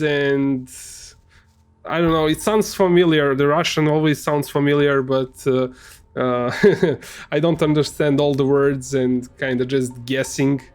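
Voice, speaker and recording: A man's voice: mid-range voice, darkish tone. A man whose first language is Serbian, casually commenting on a game he is playing, in a hesitant way with many fillers and restarts. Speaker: gamer streamer. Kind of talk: casual let's play commentary.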